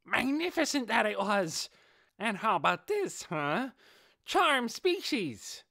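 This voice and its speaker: A man's voice: high voice, big pitch swings, slow, creaky, pushed and bright, no room sound, clean studio sound, pitched above the performer's own voice, exaggerated voice. A man voicing a boisterous, proud female giant hamster beast in an audiobook, exclaiming in quaint archaic phrasing. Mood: excited battle cry.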